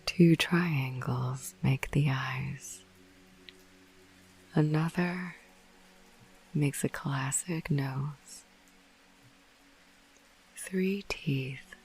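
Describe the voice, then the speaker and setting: A woman narrating a bedtime ASMR podcast in a hushed, breathy whisper, soothing and unhurried. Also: low-pitched, low-quality recording. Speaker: host of a sleep podcast.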